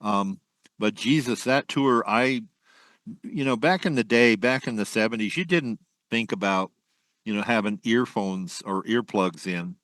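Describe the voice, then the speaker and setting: An older man, a former musician, reminiscing at length in a casual interview, rambling with many pauses. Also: on the low side, fairly bright tone, no room sound. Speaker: guest, musician.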